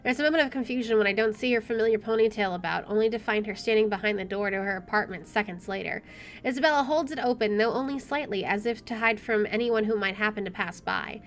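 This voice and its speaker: A woman's voice: mid-range voice, fast talker. A woman let's player reading a visual novel aloud expressively with chatty asides, game music playing behind her.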